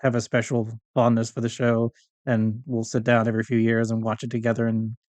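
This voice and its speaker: A man's voice: mid-range voice, completely flat delivery, brisk, darkish tone, dry recording. A middle-aged man chatting casually as a podcast guest, in an informal, rambling way. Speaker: podcast guest, audio fiction writer. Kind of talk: casual conversational interview.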